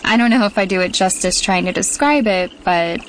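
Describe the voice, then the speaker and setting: A young woman telling a childhood memory in a relaxed, casual way with fillers. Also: mid-range voice, brisk, a little hiss or hum, low-quality recording. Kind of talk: scripted ad testimonial.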